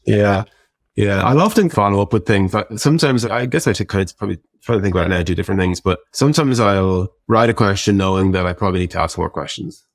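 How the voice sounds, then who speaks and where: Low-pitched man, reflective and informal. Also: fast talker, no room sound, studio quality. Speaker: interview guest, tech product executive. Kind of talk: casual interview conversation.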